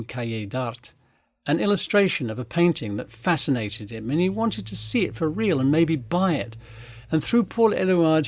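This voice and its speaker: Older male guest speaker, measured and emphatic storyteller, mid pitch, dry sound. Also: no echo. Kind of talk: storytelling talk on art history.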